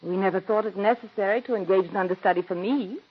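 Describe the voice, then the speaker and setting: An actress in 1940s radio acting, playing an imperious, famous stage actress with a haughty, drawling manner, on a dull old radio broadcast. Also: female voice, medium pitch, fast talker, husky, natural voice.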